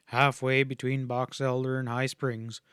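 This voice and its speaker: A man narrating a western audiobook with a steady, even delivery at a middling pitch. The sound is dry and close, with no room around it. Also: measured pace, clear, resonant tone, no echo. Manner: steady, even storytelling read. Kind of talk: scripted narration.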